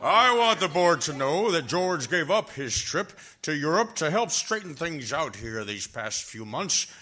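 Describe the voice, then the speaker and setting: A man's voice: a little high, sing-song, measured pace, sharp tone, exaggerated voice. A man acting a formal, courteous board member in a 1940s-style radio play. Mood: formal, appreciative.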